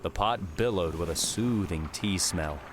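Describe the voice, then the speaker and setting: A man's voice: low-pitched, measured pace, noisy background. A man reading scripted third-person fiction as an audiobook narrator. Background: prominent music with outdoor ambience.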